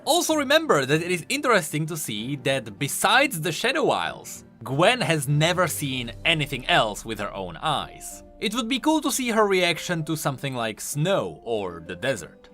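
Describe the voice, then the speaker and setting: A man with a fairly high, forceful voice delivers game lore commentary. His pitch swings in a very animated way as he explains each point emphatically. Faint music plays underneath. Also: normal speed, few pauses.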